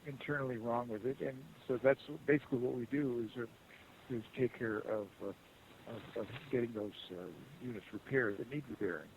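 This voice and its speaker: A man with a fairly high voice handles a customer support call in a halting, conciliatory way. He rambles through explanations without a script. The line is muffled, with a faint hiss. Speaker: customer service call agent. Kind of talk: unscripted phone support conversation.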